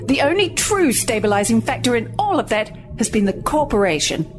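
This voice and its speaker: A woman's voice: fairly high pitch, very animated, a little fast, faint music behind. An actress playing a prickly, sardonic corporate woman on a space station in a sci-fi audio drama. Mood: indignant. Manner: sardonic, cutting.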